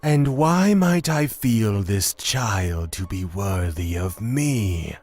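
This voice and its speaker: A man playing a grave, reserved god in a fantasy audio drama, speaking deliberately in a breathy, husky voice. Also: lowish voice, animated, a little mellow, full character voice. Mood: questioning. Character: grave, measured male god of fear and courage. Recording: music under the voice.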